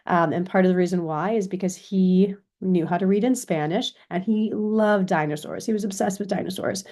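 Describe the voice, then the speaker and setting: A woman's voice: mid-range voice, normal speed, dark tone. A woman from Chicago with an American accent giving an informal recorded lecture as a university instructor, explaining things and often checking in with "right?". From Chicago, United States.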